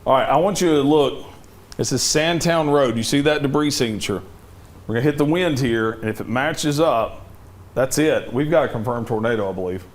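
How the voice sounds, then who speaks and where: A man covers a severe weather outbreak live on TV, urging viewers to take cover. His voice is worn and a little hoarse from a long night. He speaks at an ordinary middle pitch, firm and directive. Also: moderate pace. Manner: urgent, directive safety warnings. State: losing his voice, cough drop in mouth. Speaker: TV meteorologist.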